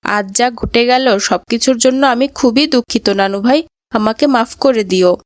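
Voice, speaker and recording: A woman's voice: a little high, unhurried, dry recording, clean studio sound, natural voice. A woman voicing an earnest, polite young grandchild in a cartoon moral story. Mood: apologetic.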